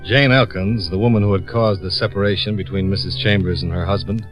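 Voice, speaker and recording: Man acting a low-voiced, matter-of-fact radio detective. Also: fairly even intonation, fairly quick, over music, natural voice, low-quality recording. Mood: matter-of-fact narration. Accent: American. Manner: clipped, direct questioning. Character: probing, matter-of-fact male insurance investigator. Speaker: lead actor and narrator. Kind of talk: 1950s radio drama acting.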